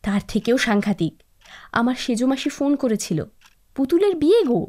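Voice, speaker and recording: A woman playing a spiteful, scheming young village wife in a cartoon, shrill and dramatic as she nags and complains. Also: big pitch swings, normal speed, a little mellow. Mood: demanding, nagging. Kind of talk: animated cartoon moral-story acting.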